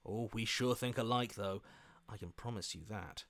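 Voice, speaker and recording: A man's voice: fairly low pitch, moderate pace, a bit bright. A man voicing a scheming, self-serving opportunist in a video game, with a sly, ingratiating, theatrical manner. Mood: slyly calculating. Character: scheming, self-serving, weaselly opportunist voice inside the hero's head.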